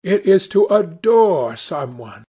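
A man voicing an earnest, idealistic young gentleman in an audiobook, speaking fervently. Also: fairly high pitch, sing-song, takes it slowly, voice pushed up, full character voice. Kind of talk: audiobook character dialogue.

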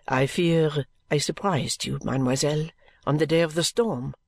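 A narrator playing a fervent, subdued Frenchwoman, low-voiced. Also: normal speed, gravelly. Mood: intense politeness. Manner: earnest, pressing, subdued, courtly. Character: fervent, intense Frenchwoman seeking work as a lady's maid.

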